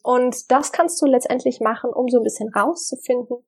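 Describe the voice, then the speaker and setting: A woman's voice: high voice, breathy edge. Earnest German woman sharing relationship advice. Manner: earnest, reflective, many fillers and pauses. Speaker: vlogger giving relationship advice. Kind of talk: casual direct-to-camera advice talk.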